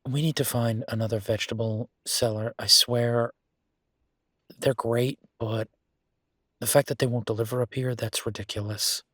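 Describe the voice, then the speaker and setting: A male actor as an exasperated but affectionate boyfriend who runs a restaurant, in an intimate audio roleplay. His voice is husky and mid-pitched, recorded very dry. He talks in a conversational, halting way, as if to his partner. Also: fairly even intonation, a bit bright, dry recording, lightly acted.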